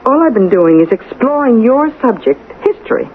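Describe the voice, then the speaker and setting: An actress playing a mayor's concerned, affectionate and reasonable wife in a vintage radio drama, gently questioning and coaxing. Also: female voice, a little high, big pitch swings, moderate pace. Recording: noisy lo-fi old radio recording.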